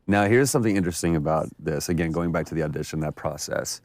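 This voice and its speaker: A man's voice: very deep voice, quick pace, a little mellow. A man giving casual, enthusiastic commentary as an actor looking back on his work. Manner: enthusiastic, effusive.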